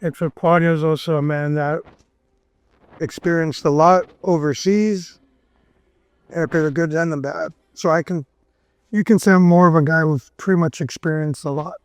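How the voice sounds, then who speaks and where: Relaxed Miami man in a café interview. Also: fairly high pitch, normal speed, no room sound. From Miami, USA. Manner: loose and conversational, many "you know"s. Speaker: interview guest. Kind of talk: casual interview.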